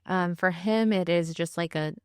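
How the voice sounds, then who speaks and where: A woman in her thirties talks casually as a podcast co-host. Her voice sits a little on the low side. The recording is dry, with hardly any room sound. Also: moderate pace, a little mellow, clear, resonant tone, no echo. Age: 30s. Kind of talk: casual chat.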